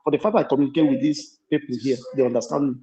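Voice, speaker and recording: A man's voice: medium pitch, fairly even intonation, rapid-fire, mellow. A man with an Igbo Nigerian accent, a guest on a live panel, chatting and telling stories from his own life. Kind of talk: casual live panel chat. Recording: muffled lo-fi call audio.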